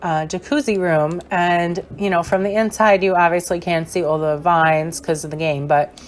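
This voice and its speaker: Chatty young woman with a hoarse voice. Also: on the low side, fairly even intonation, normal speed. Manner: chatty and rambling, with many fillers. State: hoarse, losing her voice. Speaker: gaming creator. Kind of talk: casual gameplay voice-over tour.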